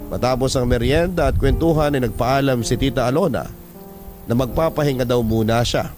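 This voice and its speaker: A man's voice: mid-range voice, a little fast, music in the background. A man reading out a first-person love story as a scripted drama narration.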